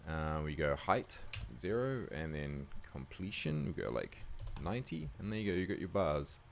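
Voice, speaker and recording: A man with a croaky voice giving a laid-back, casual editing tutorial, talking loosely with lots of fillers. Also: a bass voice, animated, normal speed, a little hiss or hum. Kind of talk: casual screen-recording tutorial voice-over.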